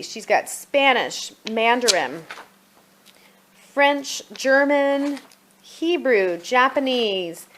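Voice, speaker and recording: A woman's voice: medium pitch, a bit bright. A woman giving a casual product review as a homeschooling mother, chatty and demonstrative, thinking aloud as she goes.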